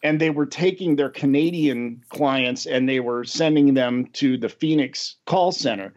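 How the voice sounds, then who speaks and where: A man's voice: mid-range voice, unhurried, darkish tone. A middle-aged American man hosting a casual podcast chat, rambling and chuckling, a little self-deprecating. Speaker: podcast host. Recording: dull lo-fi audio.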